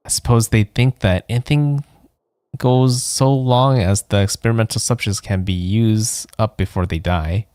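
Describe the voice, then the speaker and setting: A man streaming a game, reading the part of a dying woman's despairing diary in a halting, sorrowful manner. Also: fairly low pitch, darkish tone, clean tone, no echo, clean studio sound, lightly acted. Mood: weary despair.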